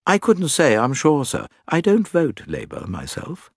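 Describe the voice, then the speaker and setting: A man's voice: a little high, huge pitch swings, a little slow, breathy edge, no room sound, studio quality, voice pushed up, exaggerated voice. A man playing a polite, unflappable English manservant who speaks deferentially, in a story reading.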